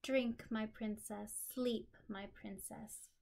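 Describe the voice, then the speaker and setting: Storybook village mother voiced by a woman reader, gentle, soothing, unhurried, lulling a child. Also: on the high side, steady tone, vocal fry. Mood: soothing, hushed. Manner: tender, slow lulling delivery.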